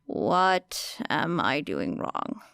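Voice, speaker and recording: A woman voicing a wary, defensive teenage boy in an audiobook, with a flat, matter-of-fact delivery. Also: deep voice, drawn-out words, creaky, clear, resonant tone, dry recording, voice pushed down, full character voice. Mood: bored, wary. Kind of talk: audiobook character dialogue.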